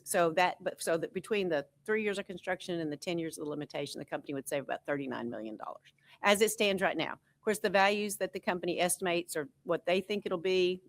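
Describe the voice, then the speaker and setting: A woman's voice: lowish voice, quick pace. A woman consultant presenting a tax analysis at a school board meeting, explaining in a conversational way with frequent fillers.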